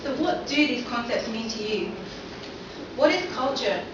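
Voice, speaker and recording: A young woman with a fairly high voice gives an academic talk, her questions to the audience carrying through a very echoey lecture hall. She is picked up from far off, and the sound is dull with steady noise behind it. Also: unhurried. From London. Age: young adult. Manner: poses many questions to the audience. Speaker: seminar presenter. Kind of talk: academic presentation.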